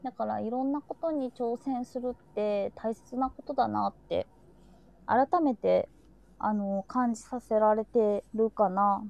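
High-voiced woman chatting, soft-edged tone. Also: unhurried, vocal fry. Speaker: host of a live streamed talk show. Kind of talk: casual reflective chat.

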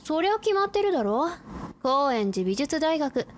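Tomboy high schooler, female actor: high-pitched, blunt, cool, boyish talk, anime-style radio drama, clear background noise. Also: very animated, moderate pace. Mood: self-assured. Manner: brusque, boyish speech. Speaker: supporting actor. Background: ambient noise.

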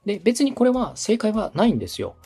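A man's voice: high voice, huge pitch swings, a little fast, vocal fry, warm tone, slightly rough, faint music behind. A man giving structured, polite advice as a radio director coaching a podcaster, methodical and instructive. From Osaka, Japan. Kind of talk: structured advisory talk, polite explanation.

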